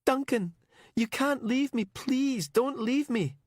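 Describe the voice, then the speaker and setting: A man voicing a young woman held captive in the dark, pleading and sobbing. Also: very high voice, slow pace, no room sound, clean studio sound, voice pushed up, exaggerated voice. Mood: desperate.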